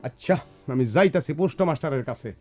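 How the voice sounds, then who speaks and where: An actor playing an excitable, doting older brother from a village, blustering and rambling in a rural eastern Bengali accent in a radio play. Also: male voice, a little high, quick pace, close to an everyday voice. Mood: indignant scolding. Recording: music behind, radio drama production.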